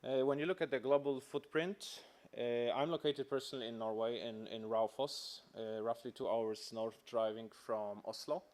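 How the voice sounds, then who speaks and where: A man with a Polish accent delivers a corporate presentation at a conference. His voice is medium in pitch, and his non-native phrasing comes haltingly, with restarts along the way. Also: fairly even intonation, a little mellow. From Poland. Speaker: company representative presenting.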